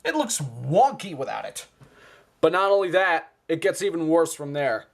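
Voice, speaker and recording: A man giving an informal, excitable show-and-tell commentary, often interjecting as he goes. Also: fairly high pitch, normal speed. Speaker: video host. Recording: clearly noisy home recording.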